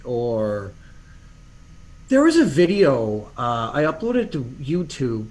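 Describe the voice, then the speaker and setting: A man's voice: medium pitch, wildly swooping pitch, slow pace, darkish tone, rough recording. A middle-aged man, a podcast guest, talking casually and rambling through stories with many restarts and fillers. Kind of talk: casual interview chat.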